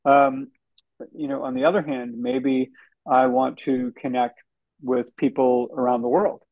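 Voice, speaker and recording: A man's voice: medium pitch, level tone, measured pace, dry recording. Man answering in a dull, dry recording. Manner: conversational, many fillers. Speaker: guest, author. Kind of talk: interview answers.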